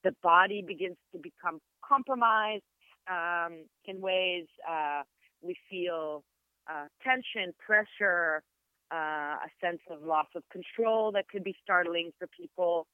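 A woman with an Israeli accent, whose first language is Hebrew, speaks as a podcast guest in a smooth, even voice. She explains things in a measured, searching way, often pausing and restarting. The sound is thin and phone-like, with a dry, close feel. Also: on the low side, no room sound. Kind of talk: reflective explanatory interview talk. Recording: tinny phone-like line, dry.

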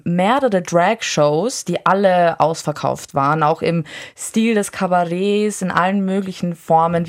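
A woman giving a conversational report as a reporter in a radio studio. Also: on the low side.

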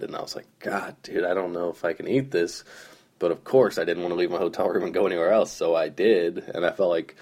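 Man, young, hoarse, groggy, very low voice, rambling, casual solo podcast. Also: a little mellow. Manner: rambling, self-deprecating, lots of fillers. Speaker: podcast host.

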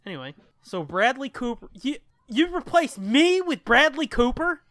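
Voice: high-pitched, sing-song, normal speed, a bit bright, no echo, clean studio sound. A podcast co-host chatting casually and swearing freely. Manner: profane. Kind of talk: casual chat.

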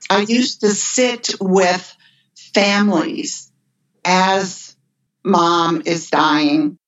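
An older woman who works as an end-of-life care nurse, speaking in an earnest, measured way. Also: fairly low pitch, fairly even intonation. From United States. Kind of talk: podcast conversation. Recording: dull lo-fi remote audio.

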